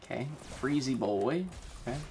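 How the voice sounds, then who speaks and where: A woman's voice: extremely low pitch, lively intonation, normal speed, a little mellow, a little hiss or hum. A woman live-streaming a video game, chatting casually with slangy, sweary exclamations. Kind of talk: casual gaming stream commentary.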